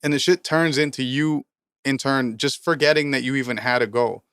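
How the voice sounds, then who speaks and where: A thirty-year-old man co-hosting a podcast, pushing his point hard in a steady mid-range voice. He talks with heavy swearing and motivational emphasis, and his voice sounds smooth and resonant on a dry close mic. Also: mid-range voice, moderate pace, no room sound. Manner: emphatic, profane, preachy motivational rants. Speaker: podcast co-host, rapper. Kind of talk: casual podcast chat.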